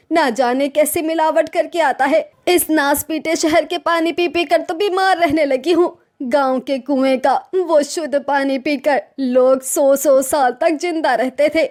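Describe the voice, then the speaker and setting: A woman plays a scornful older mother-in-law in a cartoon tale. The voice is high, with a theatrical, grumbling delivery. Every phrase comes out as a sarcastic taunt or nag. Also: fairly even intonation, unhurried, a little mellow. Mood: complaining. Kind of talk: cartoon story voice acting.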